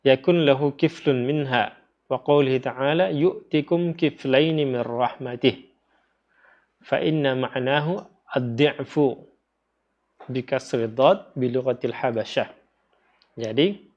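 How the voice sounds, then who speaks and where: A dull, lo-fi recording of a man with an Indonesian accent giving an informal religious lesson. His pitch is average for a man. He explains things step by step, often repeating a phrase or putting a question to his listeners. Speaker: religious teacher. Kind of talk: informal lecture explaining a text. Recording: dull, lo-fi audio.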